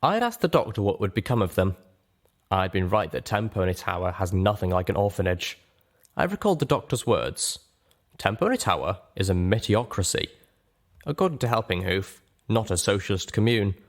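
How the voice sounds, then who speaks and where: A man reading scripted first-person fiction as an audiobook narrator. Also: fairly low pitch.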